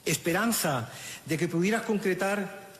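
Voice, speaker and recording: A middle-aged man with a Chilean accent reads a funeral eulogy at a lectern microphone outdoors, in a solemn, measured way with halting restarts, audibly emotional. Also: high-pitched, animated, fairly bright tone. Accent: Chilean Spanish. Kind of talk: funeral eulogy, read aloud. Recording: echoey, reverberant outdoor sound with faint ambience.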